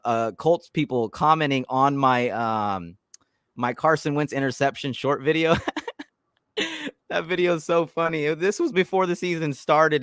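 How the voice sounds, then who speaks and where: Animated man hosting a fan live stream. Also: lowish voice, smooth, dry recording, clean studio sound. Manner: animated, repeats words and restarts often. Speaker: YouTube channel host. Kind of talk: casual live-stream chat.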